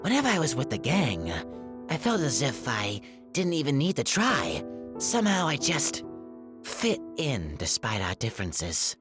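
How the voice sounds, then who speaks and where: A woman voicing a wary, soft-hearted male bartender in an animated series, with a hesitant, conversational manner. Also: rumbling low, a little slow, music in the background, exaggerated voice. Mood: fond. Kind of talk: animated web series voice acting.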